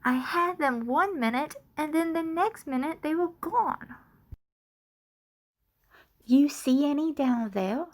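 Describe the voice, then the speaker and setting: Casting: woman to play a sweet little puppet sheep, high and very animated, childlike and excitable. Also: takes it slowly, pauses often. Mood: searching, worried. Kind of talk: children's puppet show acting.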